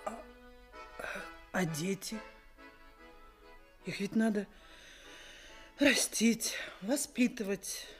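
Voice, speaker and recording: A woman's voice: medium pitch, drawn-out words, long pauses. An actress playing a simple, flustered middle-aged mother of the bride in a Soviet radio play, hesitant, murmuring and exclaiming breathily. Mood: timid, worried. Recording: studio radio play with music.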